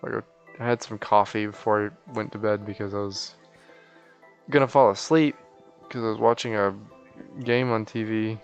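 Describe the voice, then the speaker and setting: A man's voice: on the low side, fairly even intonation, moderate pace, soft background music. A sleepy man chatting casually and in a rambling, folksy way as he live-streams a game.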